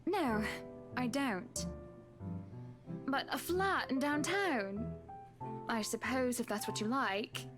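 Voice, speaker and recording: A woman's voice: on the high side, takes it slowly, pauses often. A woman playing a refined, polite, snobbish socialite wife in a video game, with a prim, genteel manner. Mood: mildly disapproving. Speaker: character voice actor in a visual novel. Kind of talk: voiced game dialogue. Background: music.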